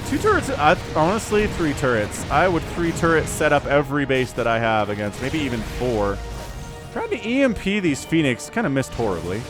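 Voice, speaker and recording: A man in his forties giving lively, excitable play-by-play commentary on a strategy game match. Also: mid-range voice. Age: 40s. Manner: animated, excitable, self-deprecating asides. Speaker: game caster. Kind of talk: esports commentary.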